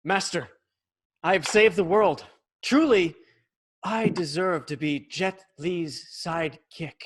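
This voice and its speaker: A man's voice: high voice, slow, a little hiss or hum. A man playing a cocky, mock-solemn little brother posing as a kung fu hero in a sitcom table read. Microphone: video call.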